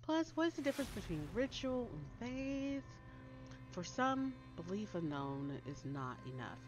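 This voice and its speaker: A woman's voice: medium pitch, very animated, measured pace, voice pushed up. An American woman voicing a cautious, earnest young heroine in a spooky story, urgent and questioning. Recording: dull lo-fi audio under loud music.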